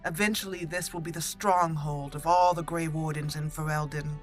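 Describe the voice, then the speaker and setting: A woman's voice: deep voice, fairly even intonation, normal speed, faint music behind, natural voice. A woman roleplaying a gracious, formal female elf mage and warden in a fantasy video game. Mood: gracious, pleased.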